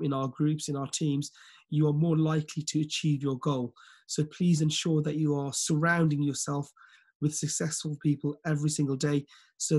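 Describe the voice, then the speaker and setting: Slightly croaky man in his forties on a laptop video call, talking in a fairly high, flat voice, earnest team-leader pep talk. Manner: repetitive, many fillers and restarts, earnest. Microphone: laptop webcam on a video call.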